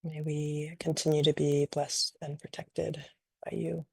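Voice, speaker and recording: A woman leading a guided meditation in a gentle, unhurried, invitational way, improvising softly and leaving long pauses. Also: deep voice, flat delivery, creaky, slightly rough, dry recording, clean studio sound. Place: online video call session.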